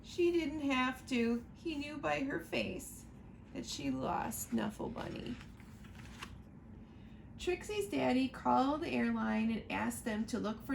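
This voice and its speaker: A woman reading a picture book aloud to children, slow and expressive, pointing out the pictures as she goes. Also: a little high, creaky, slight background noise. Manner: slow, expressive storytime reading, asking listeners to look at pictures.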